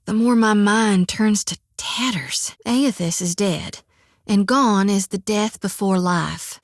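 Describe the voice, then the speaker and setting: An actress voicing a young, folksy and earnest harvest priestess in a video game, talking in a homespun Southern drawl. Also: female voice, mid-range voice, no room sound, studio quality. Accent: American Southern rural. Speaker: game character.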